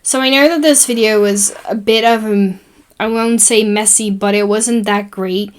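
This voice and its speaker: Young Dutch woman with a Dutch accent, relaxed and rambling as she narrates her own screen-recording tutorial. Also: medium pitch, normal speed. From Netherlands. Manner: chatty and rambling, with many fillers and self-corrections. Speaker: tutorial host.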